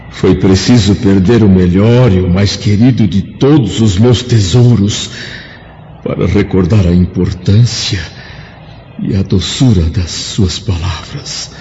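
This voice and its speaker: An actor playing a proud, grieving Roman senator in a solemn, declamatory radio drama style. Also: male voice, low voice, slow, warm tone, a little hiss or hum. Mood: anguished, pleading. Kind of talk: theatrical radio drama acting. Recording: dull lo-fi radio drama, music behind.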